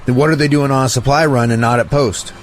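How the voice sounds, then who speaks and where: An actor playing a polite but unyielding soldier at a checkpoint in an audio drama. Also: male voice, medium pitch, fairly even intonation, quick pace, soft background music, natural voice. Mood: curt, official.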